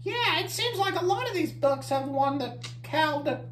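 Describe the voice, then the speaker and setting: A woman's voice: high-pitched, lively intonation, normal speed. A woman voicing a friendly, curious, silly young monster puppet in a children's story time, chatty and polite. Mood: amused. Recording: roomy echo, faint background noise.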